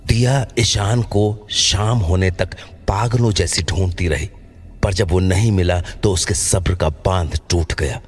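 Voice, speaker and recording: A man's voice: low-pitched, a little slow, darkish tone, slightly rough, faint music behind. A man narrating a scripted horror story as the storyteller of an audio drama.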